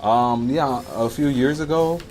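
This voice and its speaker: A man's voice: medium pitch, measured pace, noisy background. An American man from Miami, chatting casually and easygoing as he gives live gaming commentary. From Miami, Florida, United States. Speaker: livestreamer. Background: music and game sounds.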